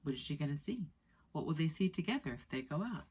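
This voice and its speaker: A woman in her thirties reading a children's book aloud expressively, with deliberate storytelling pauses. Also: deep voice, big pitch swings, darkish tone, slightly rough. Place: small indoor room with skylight.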